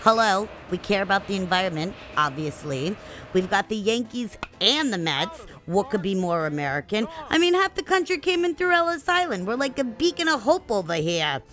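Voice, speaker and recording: A woman's voice: medium pitch, sing-song, moderate pace, fairly bright tone. A woman playing a chatty, gossipy older neighborhood watch host.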